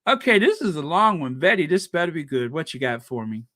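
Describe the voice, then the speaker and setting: A man hosting a casual livestream, chatting with viewers and reading their comments aloud, animated and quick to laugh. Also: on the high side, dry recording, clean studio sound. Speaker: livestream host.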